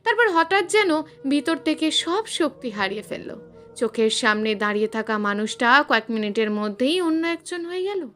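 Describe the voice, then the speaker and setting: High-pitched, animated woman narrating suspense. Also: normal speed, faint music behind. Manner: dramatic, suspenseful delivery with emphatic swells. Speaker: storyteller. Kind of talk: dramatic scripted narration of a suspense story.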